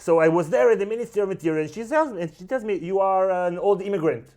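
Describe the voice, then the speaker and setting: A male host with an Israeli accent talks very quickly at a middle pitch. He chats casually, telling anecdotes in short, punchy sentences. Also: lively intonation, a little mellow. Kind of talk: casual chat.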